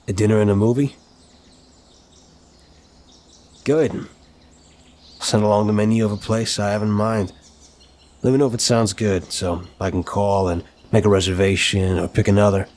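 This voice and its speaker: A man playing an apologetic, flirtatious mafia boss in an intimate ASMR roleplay, speaking in a courteous, teasing, slightly halting way. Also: fairly low pitch, a little mellow, lightly acted. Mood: warm, eager.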